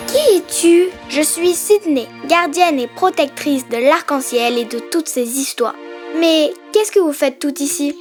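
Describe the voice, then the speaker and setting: A woman's voice: high-pitched, unhurried. A woman voicing a curious, wonderstruck girlish character in a children's fairytale cartoon, emphatic and storybook-like. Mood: wonder, guiding. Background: cartoon music.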